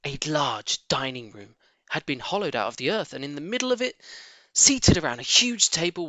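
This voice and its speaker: Animated man reading a children's story, bright voice. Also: on the high side, vocal fry, no room sound. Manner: theatrical, expressive storytelling. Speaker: audiobook reader.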